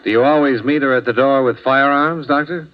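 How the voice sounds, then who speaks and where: An actor playing a wry, self-assured insurance investigator in a 1950s radio drama, with a dry, clipped, hard-boiled delivery. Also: male voice, mid-range voice, fairly even intonation, brisk, close to an everyday voice. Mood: cool, probing. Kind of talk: 1950s radio drama acting, first-person noir narration. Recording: dull vintage radio recording, faint music.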